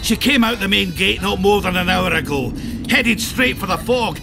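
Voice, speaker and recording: An actor playing a blustery, foul-mouthed dwarf warrior in a video game, with a gruff and colourful delivery. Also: male voice, high-pitched, normal speed. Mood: wary, blunt. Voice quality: gruff, gravelly. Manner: blunt, colourful, swearing. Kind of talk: video game cutscene acting. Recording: music behind the voice.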